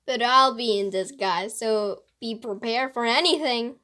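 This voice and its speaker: A mischievous woman writer from a children's mystery, as voiced by a woman reader. Her voice is on the high side, and she explains herself with playful, animated emphasis. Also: unhurried. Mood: confiding.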